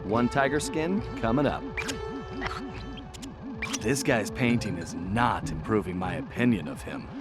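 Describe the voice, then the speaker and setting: A man playing a cool, wisecracking raccoon thief hero in an animated video game, with a wry, laid-back delivery. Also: on the low side, a little slow, long pauses. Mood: wry, confident. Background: music.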